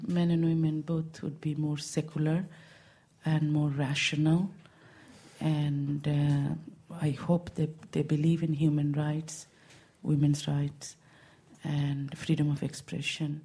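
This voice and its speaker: Slow, husky woman. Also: deep voice, little pitch movement, dark tone. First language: Bengali. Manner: slow, halting, with many fillers. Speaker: guest. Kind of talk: interview answers in English.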